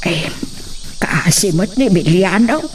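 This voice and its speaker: A woman's voice: on the low side, expressive, measured pace. A woman with an Ilocano accent playing a nagging, inquisitive companion of a henchman in an audio drama, chiding and questioning. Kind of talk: radio-style Ilocano drama acting. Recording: distant mic, faint noise, crickets behind.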